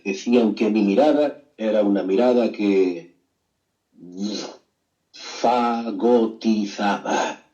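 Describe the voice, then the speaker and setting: A man's voice: fairly low pitch, mellow, lo-fi sound, voice pushed down, lightly acted. A man playing a grandiose old painter. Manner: slow dramatic pauses, grandiose boasting. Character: flamboyant old male painter, grandiose and theatrical. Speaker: radio contributor.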